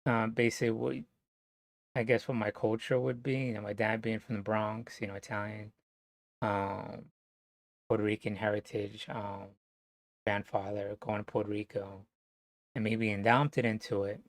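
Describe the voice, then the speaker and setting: A man from New Jersey talking to camera in a rambling, casual monologue, halting and full of fillers, swearing now and then. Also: lowish voice, level tone, no echo. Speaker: vlogger.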